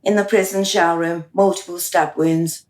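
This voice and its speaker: A woman's voice: lowish voice, fairly even intonation, moderate pace, close to an everyday voice. Woman playing a composed official, echoing room. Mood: businesslike. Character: brisk, composed female reincarnation official, playfully flirtatious. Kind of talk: radio play acting. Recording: roomy, echoing radio play recording.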